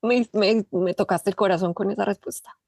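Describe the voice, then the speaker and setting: A woman hosting an interview podcast, speaking in a gracious, measured way. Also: medium pitch, fairly even intonation, vocal fry, a little mellow, no echo, studio quality. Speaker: podcast host.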